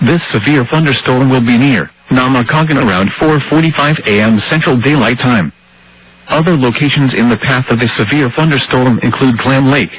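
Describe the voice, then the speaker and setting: A synthetic male voice reading formal emergency weather warnings in a measured, impersonal way over a radio broadcast. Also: mid-range voice, a bit bright, poor audio. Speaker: automated weather radio announcer. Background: radio static and hiss.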